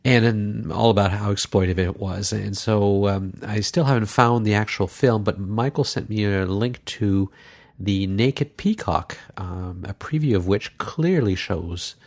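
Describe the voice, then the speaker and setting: A man hosting a podcast while congested from a cold, talking casually and haltingly with many pauses. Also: on the low side, gravelly, a little mellow. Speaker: podcast host.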